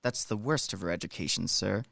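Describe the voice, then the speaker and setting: A man's voice: low-pitched, fairly quick, gravelly, pushed and bright, heavily acted. A man in a radio play acting a shrewd, self-important manservant who coaxes and lectures with emphasis. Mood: deferential. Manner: wheedling, instructing, emphatic.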